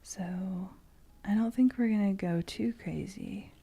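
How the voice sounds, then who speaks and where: A woman chatting casually in an ASMR style, with a soft, breathy, often whispered voice and a halting, meandering manner. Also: medium pitch. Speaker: streamer host.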